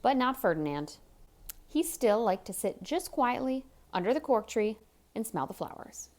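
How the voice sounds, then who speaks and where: Animated woman reading a picture book aloud. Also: on the high side, moderate pace. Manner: expressive, chatty, asks the listener questions. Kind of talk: animated read-aloud storytelling for young children, with asides to the listener.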